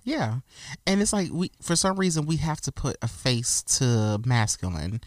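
A man of middling pitch talks frankly and with emphasis, often stopping to restart a sentence as he makes his point. His voice sounds close and dry, with no room around it. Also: sing-song, moderate pace, dry recording. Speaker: podcast guest. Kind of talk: casual discussion.

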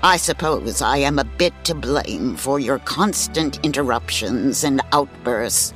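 A woman playing a condescending old storyteller in a comedic audio drama, dry, deliberate and snide. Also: very deep voice, animated, faint music behind. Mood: weary condescension. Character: condescending old female storyteller, long-suffering and superior.

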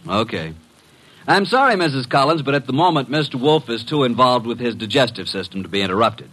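An actor playing a breezy male detective's assistant in an old radio drama, with a wry, smooth-talking manner. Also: medium pitch, lively intonation, a little fast, fairly bright tone, low-quality recording. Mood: breezy, polite. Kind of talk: 1940s-50s radio drama acting and narration. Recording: vintage radio drama recording.

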